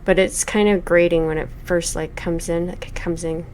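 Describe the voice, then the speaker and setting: A woman's voice: fairly low pitch, flat delivery, moderate pace, darkish tone, a little hiss or hum. A woman in her forties giving thoughtful, hesitant let's play commentary with many fillers while reviewing a game. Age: 40s.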